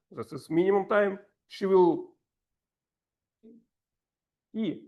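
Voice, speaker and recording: A man with a heavy Russian accent, a native Russian speaker, hosting a club session as table topics master in slow, halting English with playful asides. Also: high voice.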